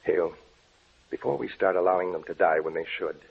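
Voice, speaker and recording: Obsessed brooding scientist, deep rough voice, old timey radio melodrama, really muffled phone-like sound. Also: male voice, fairly quick, heavily acted. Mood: coldly determined. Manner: grave, melodramatic, intense delivery. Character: brooding, obsessed male scientist plotting to kill his beloved. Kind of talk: old-time radio drama acting.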